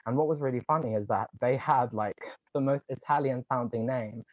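Fast-talking young man, earnest and chatty. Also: mid-range voice, dry recording. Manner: earnest, talks in quick runs with many "like" fillers. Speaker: guest, online content creator. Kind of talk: casual interview conversation. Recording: slightly dull, muffled sound.

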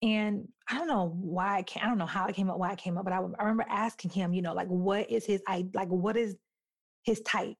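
A young adult woman talking candidly on a podcast, telling stories in an animated, emphatic way. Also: mid-range voice, brisk.

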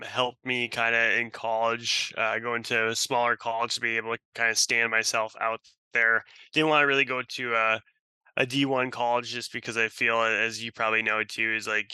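A man's voice: mid-range voice, pushed and bright, clear, resonant tone, no room sound, low-quality recording. A man from Russia giving casual, rambling interview answers as a podcast guest. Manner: rambling, peppered with "you know". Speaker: podcast guest, sports franchise sales and service executive.

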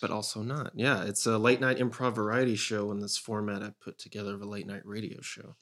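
A man's voice: lowish voice, level tone, a little fast, fairly bright tone. A young man from Texas chatting casually as a podcast guest, with a dry, self-deprecating wit. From Texas, small town. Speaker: comedy writer, podcast guest. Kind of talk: casual chat.

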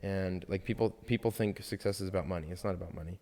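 This is a young man with a lowish voice, talking earnestly as a podcast guest. His speech rambles and is full of filler words. A long reverb trails behind him. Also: steady tone, fast talker, a little mellow. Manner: rambling, earnest, heavy use of "like". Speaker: podcast guest, architecture graduate. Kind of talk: casual chat.

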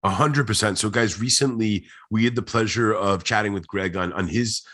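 A man's voice: on the low side, normal speed, fairly bright tone. A man hosting a podcast, enthusiastic and upbeat, often repeating words as he restarts sentences. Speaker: podcast host.

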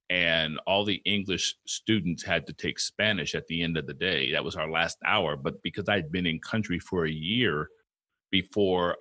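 A man in his fifties co-hosting a relaxed chat, his voice low and bright-edged. He rambles through stories, doubling back on his words. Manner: rambling, storytelling, many restarts. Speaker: podcast co-host. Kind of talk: casual interview chat.

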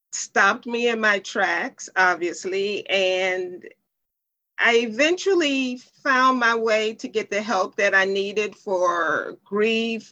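A middle-aged woman speaks slowly in an interview, reflective and unhurried. She pauses often and fills gaps with soft "um"s. Her voice sits at a middle pitch. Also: highs cut off. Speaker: podcast guest, life coach. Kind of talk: recorded interview clip. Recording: played back from an earlier interview.

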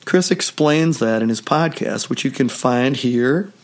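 Man, hoarse from a cold, podcast host, solo monologue, measured pace. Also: medium pitch.

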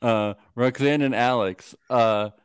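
A male podcast co-host chatting casually, joking and swearing as he riffs. His voice sits at a middling pitch, recorded dry and close with no room sound. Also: takes it slowly, clear, resonant tone, no room sound. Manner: joking, profane, riffing with laughs. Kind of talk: casual comedic recap chat.

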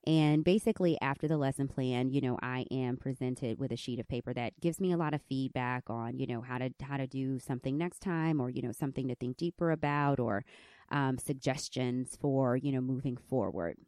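A woman in her thirties with a squeaky country voice, telling a candid personal story as host of her podcast. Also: brisk, dry recording, studio quality. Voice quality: squeaky, high voice. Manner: candid, animated storytelling with laughs. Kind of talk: personal reflective podcast monologue.

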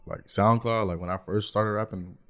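Deep-voiced, laid-back Southern Californian man. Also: fairly even intonation, normal speed, clean tone. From Anaheim, California. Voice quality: naturally deep voice. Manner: laid-back, filler-heavy, says "you feel me" a lot. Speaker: guest rapper. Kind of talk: casual podcast chat.

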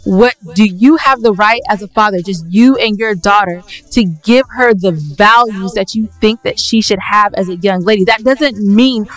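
A woman co-hosting a casual podcast, speaking in an emphatic, opinionated way and quick to interject. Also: mid-range voice, a bit bright, poor audio. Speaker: podcast co-host. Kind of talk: casual podcast debate with co-host.

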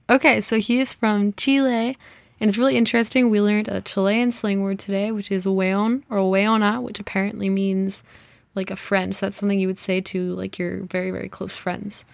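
A young woman hosts a casual solo podcast, talking in a chatty, think-out-loud way with lots of "kind of" and "like". Her voice sits at a middle pitch and is recorded close and dry, with no room sound. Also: vocal fry, dry recording. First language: English. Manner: chatty, thinks aloud, many "kind of" and "like" hedges. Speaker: podcast host.

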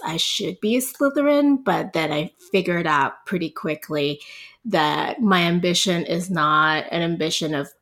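A woman from Puerto Rico in her thirties talks as a podcast guest. Her voice sits a little low, and she chats loosely with plenty of ums and laughs. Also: a bit bright. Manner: chatty, laughs often, many ums. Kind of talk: casual interview chat.

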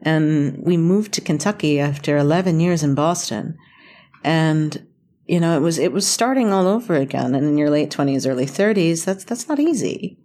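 A woman's voice: deep voice, expressive, normal speed, dark tone. An American woman telling stories casually as an interview guest, chatty, informal and self-deprecating. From North Carolina, United States. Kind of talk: casual conversational storytelling.

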